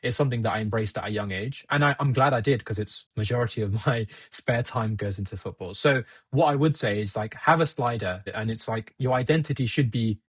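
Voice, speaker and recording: Young man, first language Gujarati, from the UK; husky, close-miked, candid and self-deprecating. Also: mid-range voice, brisk, few pauses, no echo. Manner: reflective, laughs at himself, talks it through. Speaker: podcast guest. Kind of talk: casual conversation.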